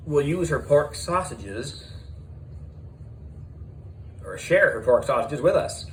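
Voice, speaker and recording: A man narrating a children's audiobook in the character of a schoolboy, animated and deliberate, with dramatic pauses. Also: medium pitch, a little hiss or hum.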